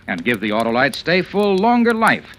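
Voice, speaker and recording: A man announcing a 1940s radio commercial with a brisk, punchy salesman delivery. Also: on the high side, sing-song, fairly bright tone. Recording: noisy old radio recording.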